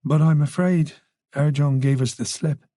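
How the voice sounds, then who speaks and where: A narrator voicing a calm, protective male senior detective with an Irish accent, reasonable and soothing, in an audiobook. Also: mid-range voice, normal speed, no echo, clean studio sound, natural voice. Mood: grave. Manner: steady, soothing, easy and unhesitating.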